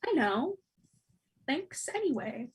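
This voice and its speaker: A high, swooping voice for an earnest, guilt-ridden young co-op worker played by a woman. The delivery is dramatic and flustered, with stammers, in a comedy table read. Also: sing-song, slow, heavily acted. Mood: subdued. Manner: stammering, overwrought.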